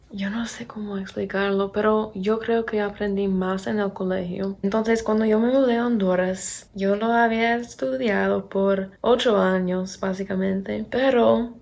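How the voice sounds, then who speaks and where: A young American woman with an American accent, speaking as a second-language learner in a casual vlog, halting and searching for words. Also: medium pitch, creaky. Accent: American English accent in Spanish. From Pennsylvania, USA. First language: English. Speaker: vlogger and English teacher. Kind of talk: casual chat to camera.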